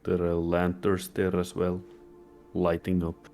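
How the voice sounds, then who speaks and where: A man's voice: sits low, completely flat delivery, unhurried, dark tone, soft background music. A man playing a tabletop game, easygoing and joking. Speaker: player. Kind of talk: casual roleplay chat.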